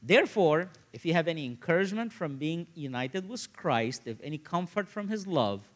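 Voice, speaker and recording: A man, preaching in an animated, conversational storytelling style and repeating phrases for emphasis. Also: on the high side, moderate pace. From Egypt, grew up in Cairo. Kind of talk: sermon with personal storytelling.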